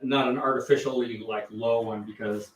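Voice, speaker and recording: A man with a low baritone voice, teaching a kids karate class as guest instructor, calling out counts and correcting form. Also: fairly even intonation. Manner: slow, counts drills aloud and corrects form. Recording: echoey hall, far from mic, noisy.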